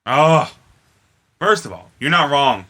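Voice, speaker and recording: A man's voice: a little high, very animated, takes it slowly, bright, forceful tone. A man co-hosting a podcast in casual, profane chat, speaking emphatically and repeating phrases for effect. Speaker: podcast co-host.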